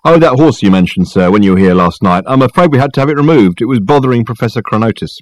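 A male reader acts a dour college porter, respectful but grumbling. He talks in clipped, deadpan phrases, in a dry audiobook recording. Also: medium pitch, fast talker, no room sound, lightly acted. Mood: curt, dour. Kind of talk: dramatised audiobook dialogue.

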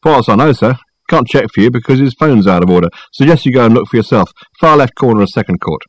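A man voicing a curt, grumbling, deferential college porter in an audiobook, with a clipped deadpan manner. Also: mid-range voice, quick pace, no echo, lightly acted. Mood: matter-of-fact. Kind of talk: dramatised audiobook dialogue.

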